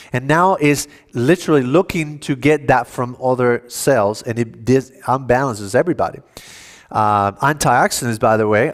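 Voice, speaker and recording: Man giving a health lecture. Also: mid-range voice. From Cuba. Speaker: health seminar speaker at a church. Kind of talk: educational health lecture to an audience.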